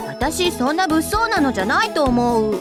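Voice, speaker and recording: A woman's voice: sits high, sing-song, fast, over music. A woman voicing a childish, hungry, cheerful teacher in a Japanese visual novel, whiny and playful in an exaggerated way. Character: childish, hungry, cheerful female teacher and big-sister figure.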